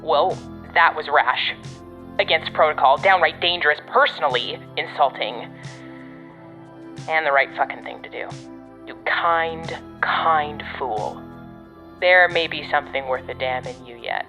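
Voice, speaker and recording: A woman's voice: low-pitched, unhurried, bright, forceful tone, faint music behind, exaggerated voice. A woman playing a blunt, sardonic, grudgingly fond guide in an audio drama, with a dry manner. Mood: wry, grudging.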